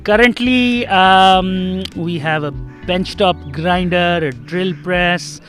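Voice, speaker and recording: A young man from Pakistan, answering an interview in a relaxed way with some fillers. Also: high-pitched, takes it slowly. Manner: relaxed, uh and um fillers, laughs lightly. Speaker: guest. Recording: faint music underneath.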